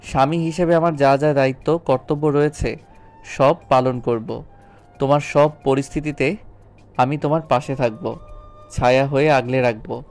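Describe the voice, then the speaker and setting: একজন পুরুষের অভিনয়ে আন্তরিক, অনুনয়ী তরুণ মাস্টারমশাই স্বামী. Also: male voice, mid-range voice, steady tone, normal speed, darkish tone, natural voice. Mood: earnest, resolute. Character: earnest young schoolteacher husband, apologetic and pleading. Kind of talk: audio story drama acting. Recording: background music under voice. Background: music.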